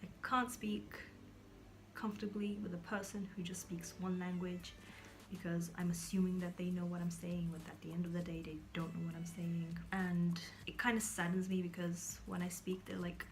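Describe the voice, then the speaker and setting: A woman's voice: lowish voice, level tone, normal speed, a little hiss or hum. A young woman from Kazakhstan talking to her viewers in a casual vlog, rambling, restarting sentences and sometimes dropping to a whisper. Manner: rambling, searching for words, many fillers and restarts; drops into whispers at times. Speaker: vlogger. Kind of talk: casual talk to camera.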